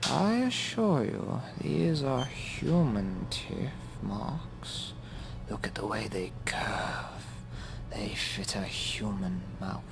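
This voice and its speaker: An actor playing a polite, sinister male museum expert in a noir radio play, formal and deliberate with eerie hushed tones. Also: lowish voice, animated, gravelly, background noise, full character voice. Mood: sinister, assured.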